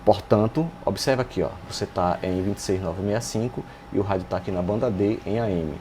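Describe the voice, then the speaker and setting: A man's voice: fairly low pitch, brisk, mellow, slight background noise. A man with a Brazilian Portuguese accent giving an informal technical tutorial in a didactic way, often asking rhetorical questions and checking in with the listener. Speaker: radio repair instructor and channel host. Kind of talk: informal technical tutorial, explaining while demonstrating.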